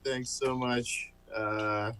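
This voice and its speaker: A man finishing a self-mocking stand-up set over a video call, in a wry, unhurried way. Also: medium pitch, little pitch movement, sharp tone, a little hiss or hum. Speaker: stand-up comic.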